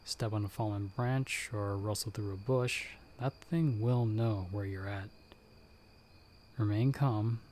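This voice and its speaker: A man playing a calm, protective monster hunter in an ASMR audio roleplay, giving hushed, measured, reassuring instructions. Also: lowish voice, slight background noise. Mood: steady, guiding. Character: calm, protective male monster hunter guiding someone by telepathy.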